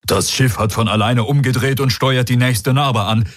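A man's voice: mid-range voice, fairly even intonation, normal speed, no echo, clean studio sound, pitched above the performer's own voice, lightly acted. A man voicing a male starship pilot who gives a tense report.

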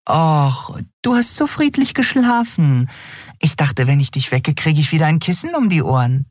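A man voicing a tiny winged female creature who speaks in a teasing, high, quick way. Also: very animated, no room sound, studio quality. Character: tiny winged female sprite, cheeky and playful.

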